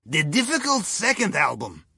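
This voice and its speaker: A wry, jovial Russian submarine captain in a video game. His voice is fairly high for a man and husky, with a lively melody. He briefs his crew in clipped broken English with dry humour and a Russian accent. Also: moderate pace, dry recording, heavily acted. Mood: urgent, alert. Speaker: mission guide voice in a video game. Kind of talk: scripted video game radio dialogue.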